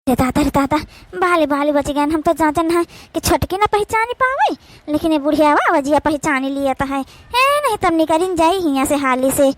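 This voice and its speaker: A woman's voice: sits high, lively intonation, moderate pace, no room sound. A woman voicing a sly, work-shy village daughter-in-law in an Awadhi comedy cartoon, with an Awadhi accent and an evasive, rambling manner. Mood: alarmed. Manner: evasive, rambling with filler phrases.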